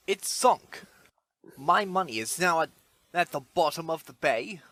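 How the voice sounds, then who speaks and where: A man's voice: fairly high pitch, lively intonation, a little slow, bright, forceful tone. A man playing a blustering, scornful rich financier in a comic dub, pompous in manner. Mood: indignant. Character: blustering wealthy male financier, scornful father.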